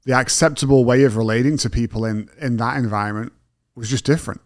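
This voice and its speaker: A man's voice: medium pitch, fast talker, no room sound, clean studio sound. A man with a British accent hosting a podcast interview, asking thoughtful questions in a self-deprecating, conversational way. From Britain. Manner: thoughtful, self-deprecating, frequent agreeing "yeah". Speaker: podcast host.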